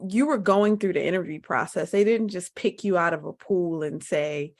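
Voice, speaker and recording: A woman's voice: on the low side, normal speed, darkish tone. A woman hosting a podcast interview, asking long, searching questions in a conversational way. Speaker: podcast host.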